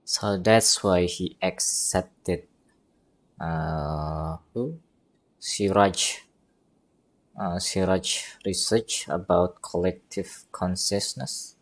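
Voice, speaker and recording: A woman giving casual live gameplay commentary, halting and repeating words as she thinks aloud. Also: rumbling low, steady tone. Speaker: game streamer.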